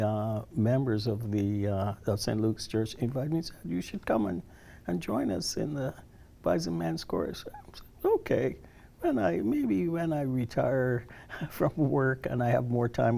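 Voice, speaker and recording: Elderly male interviewee from Barbados. Creaky, mellow voice, halting delivery with repeated words, conversational reminiscing. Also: mid-range voice. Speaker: interview guest, retired social worker.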